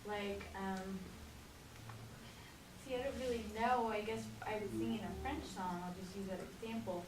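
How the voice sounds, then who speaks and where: A woman's voice: medium pitch, fairly even intonation, normal speed. Hesitant woman student, far in a room. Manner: hesitant, filler-heavy. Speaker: student asking questions. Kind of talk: questions in a class. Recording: echoey room, far from mic, hum.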